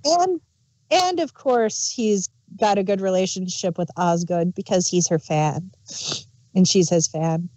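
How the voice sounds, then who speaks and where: Slow, mellow-voiced woman co-host. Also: lowish voice, no room sound, low-quality recording. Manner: slow, agreeing, giggly asides. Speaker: podcast co-host reviewing an audio drama. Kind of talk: casual review chat.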